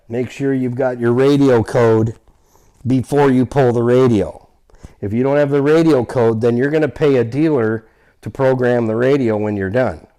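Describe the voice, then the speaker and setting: Mellow-voiced man explaining repairs. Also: mid-range voice. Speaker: vehicle restorer and host. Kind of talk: hands-on repair explainer, casual talk to viewers.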